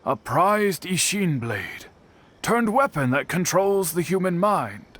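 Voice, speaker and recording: A gentle older smithy elder in a video game, thoughtful and unhurried. He speaks very slowly in a slightly high voice with a lively, rising and falling tone. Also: slight background noise, exaggerated voice. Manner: slow, thoughtful, expressive.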